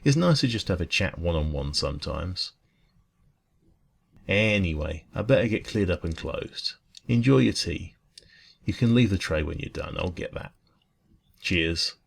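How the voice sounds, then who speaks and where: Man voicing a deep, creaky, gentle dragon café owner. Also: a little slow, pauses often, heavily acted. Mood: gentle, reassuring. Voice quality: soft, calming. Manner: gentle, reassuring, chatty. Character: kind, friendly male dragon café owner closing up shop. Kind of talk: ASMR roleplay.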